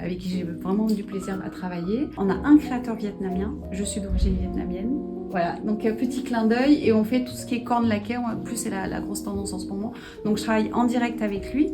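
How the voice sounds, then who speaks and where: A middle-aged woman with a mid-pitched voice presents her small boutique in a friendly, chatty way, pausing on fillers as she goes. Acoustic guitar music plays clearly under her voice. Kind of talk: promotional shop presentation.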